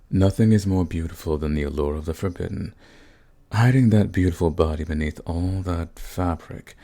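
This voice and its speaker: Seductive male vampire lord, played by a man, very low and husky, courtly menace, purring sadist for ASMR roleplay. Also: exaggerated voice. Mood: admiring, seductive. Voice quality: gravelly, husky. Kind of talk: ASMR roleplay, scripted monologue to the listener.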